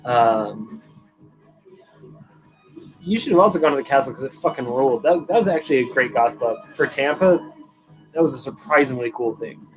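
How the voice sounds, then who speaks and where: A man in his thirties from California with a voice of ordinary middle pitch, chatting through a live stream. He swears freely and rambles as he tells his story and answers the chat, with faint music playing behind him. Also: animated, normal speed, pauses often, poor audio. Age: 30s.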